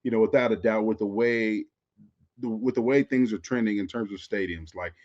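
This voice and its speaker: A man's voice: lowish voice, normal speed, a little mellow. Slightly muffled American man talking sports. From Florida, United States. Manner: conversational, repeats phrases, often says "you know". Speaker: former pro football player, podcast co-host. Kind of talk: casual sports podcast chat.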